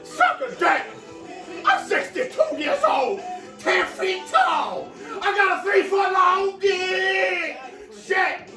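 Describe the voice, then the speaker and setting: A crude, swaggering old man in his sixties, ten feet tall with a cane, as a man puts him on, cursing in a high, drawn-out voice. He rants slowly and keeps repeating himself. The sound is roomy and comes from away from the mic, with faint music underneath. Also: lively intonation, shouting, distant mic, crowd noise, full character voice. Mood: blustering. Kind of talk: comic improvised character rant. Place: room at home.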